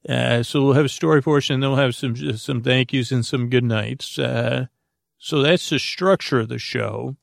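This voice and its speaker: Creaky-voiced man rambling a bedtime monologue. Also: mid-range voice, dry recording, studio quality. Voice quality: creaky, gravelly voice. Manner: meandering, halting, goofy, trails into tangents. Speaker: sleep podcast host.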